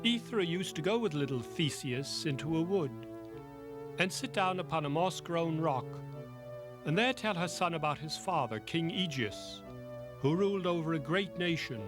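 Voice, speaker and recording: High-pitched male storyteller over music. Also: animated, normal speed. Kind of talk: scripted dramatic children's story narration.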